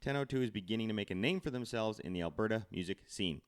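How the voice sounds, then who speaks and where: A low-pitched male podcast host with very animated intonation. He chats casually and banters with his guests, teasing and joking as he goes. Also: fast, smooth. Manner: teasing, jokey. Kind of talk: casual bantering interview chat.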